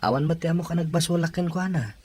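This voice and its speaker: A man's voice: on the high side, racing, warm tone, husky. Earnest young Ilocano boyfriend acted by a man. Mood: pleading. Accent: Ilocano Filipino. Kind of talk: Ilocano radio drama acting.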